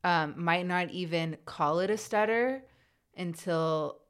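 Low-voiced woman who stutters. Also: smooth. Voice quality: stutters, with repeated sounds and pauses. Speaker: interviewer. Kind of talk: conversational interview.

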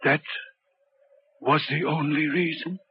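An actor in a 1940s radio drama playing a lonely, brooding railway signalman who speaks in a grave, deliberate, halting and courteous way. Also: on the high side, fairly even intonation. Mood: uneasy, probing. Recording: dull, noisy 1940s radio recording.

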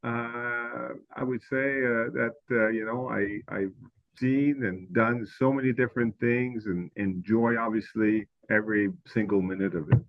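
A man's voice: medium pitch, fairly even intonation, measured pace, no room sound, dull audio. A middle-aged man from Quebec with a Quebec French accent, a French native speaker who searches for English words and uses many fillers as a guest in a casual interview. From Quebec, Canada.

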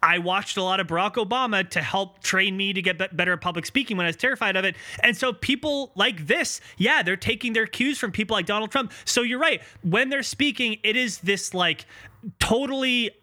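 Bright, high-voiced man talking politics. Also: a little fast. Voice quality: high-pitched voice. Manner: emphatic, opinionated. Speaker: podcast co-host. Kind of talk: casual political commentary.

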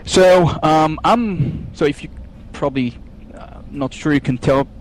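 Israeli man, halting and filler-heavy. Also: on the high side, rough recording. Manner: hesitant, many fillers and restarts. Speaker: software engineer guest. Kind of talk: technical interview.

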